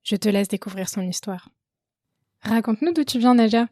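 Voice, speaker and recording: A woman's voice: medium pitch, lively intonation, normal speed, mellow, no room sound, studio quality. A woman hosting a podcast, reading a friendly scripted intro that speaks straight to the listener, then asking interview questions. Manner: friendly, direct, addresses listener informally. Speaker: podcast host.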